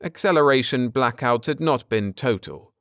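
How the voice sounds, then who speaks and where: A man narrating a science fiction story as an audiobook, with theatrical, deliberate phrasing and dramatic emphasis. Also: medium pitch, lively intonation, a bit bright, no room sound, studio quality.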